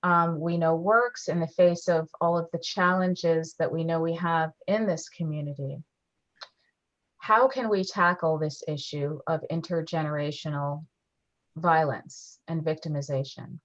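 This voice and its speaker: A woman's voice: low-pitched, fairly even intonation, unhurried. A woman moderating a panel discussion, leading from one question to the next. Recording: dull lo-fi audio.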